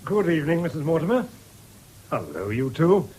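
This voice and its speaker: An actor with an English accent playing a genial but firm country doctor in a radio drama. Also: male voice, fairly high pitch, very animated, normal speed, darkish tone, breathy edge.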